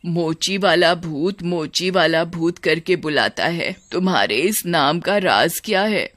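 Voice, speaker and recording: A man voicing an affectionate, calm elderly mother in a horror story, speaking gently and coaxingly. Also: fairly high pitch, unhurried, dry recording.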